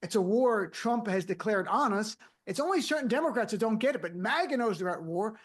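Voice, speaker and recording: A man's voice: high-pitched, expressive, fairly quick, husky. A man speaking bluntly and conversationally as a guest on a political panel. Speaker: guest commentator.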